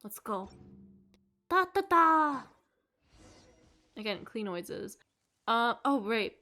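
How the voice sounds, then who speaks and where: A woman giving casual, playful livestream commentary on a game, with excitable reactions. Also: a little high, takes it slowly. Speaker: streamer playing a story game.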